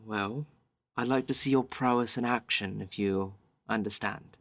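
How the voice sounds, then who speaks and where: A man plays a careful female ship captain in a tabletop game. The voice is fairly low and very deliberate, with an earnest, steady, slightly formal manner. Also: no room sound. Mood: measured encouragement.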